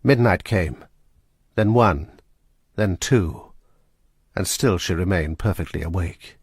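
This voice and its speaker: A man narrating a suspenseful period story in a measured, scripted way. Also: low-pitched, husky, dry recording, clean studio sound.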